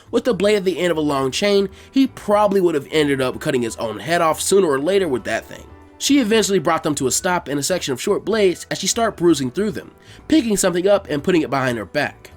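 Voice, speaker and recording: A man reading fan fiction aloud as an expressive scripted story narration. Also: fairly high pitch, fairly quick, soft background music.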